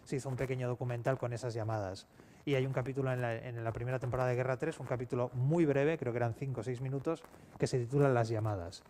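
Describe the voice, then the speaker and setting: A man with an accent from Spain speaking unscripted on a panel in a halting, self-correcting way, as an audio fiction writer. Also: medium pitch, fairly even intonation, dark tone. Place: public round-table event. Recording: clear background noise, live event ambience.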